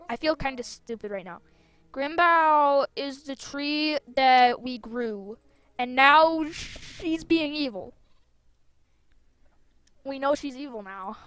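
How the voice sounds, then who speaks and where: A woman's voice: sits high, a bit bright, no room sound. A woman doing a game playthrough, reading dialogue aloud expressively and reacting casually, sometimes stumbling over words.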